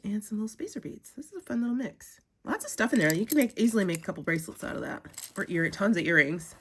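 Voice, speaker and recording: A young woman with a cold and a sniffly voice, chatting casually as she unboxes craft supplies, gushing with drawn-out "ooh"s and "um"s. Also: mid-range voice, big pitch swings, slight background noise. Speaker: crafting vlogger.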